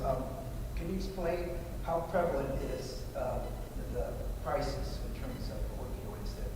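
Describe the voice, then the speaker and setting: A man moderates a public panel, feeling his way through each sentence with frequent fillers. He sits well back from the mic in a large, echoing hall. Steady noise hangs under his voice. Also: medium pitch. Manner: hesitant, many ums and restarts. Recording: echoey hall, mic held too far.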